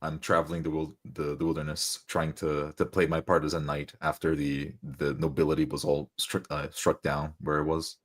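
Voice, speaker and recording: A man's voice: very deep voice, level tone, moderate pace, few pauses. A man chatting casually as a player in a tabletop roleplay game. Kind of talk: casual chat.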